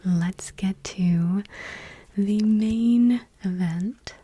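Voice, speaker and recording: A woman speaking in a soft, breathy near-whisper in an ASMR style, gentle and unhurried, close to the microphone. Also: medium pitch. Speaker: ASMR channel host. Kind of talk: soft-spoken ASMR, reading trading cards aloud.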